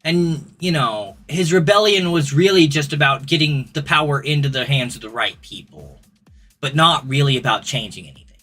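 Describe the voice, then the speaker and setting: Male streamer, mid pitch, droning rambling rants, music playing behind. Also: expressive, moderate pace, sharp tone. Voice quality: droning when ranting. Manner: rambling ranting with restarts. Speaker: gaming streamer. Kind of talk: casual livestream chat. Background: clear background music.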